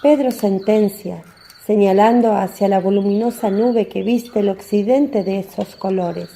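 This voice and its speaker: A woman narrating a scripted religious story in a measured, descriptive reading. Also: mid-range voice, vocal fry, dark tone.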